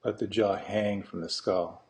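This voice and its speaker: A man guiding a meditation as a teacher, speaking slowly and deliberately, with long pauses between phrases. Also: fairly low pitch, steady tone, a little mellow, slight background noise. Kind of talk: guided meditation instruction.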